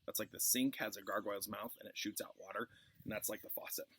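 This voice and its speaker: A man's voice: on the low side, steady tone, fast, fairly bright tone, slightly rough. A man, a classroom teacher reading a story expressively for his pupils, dropping into informal asides. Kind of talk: expressive read-aloud for pupils.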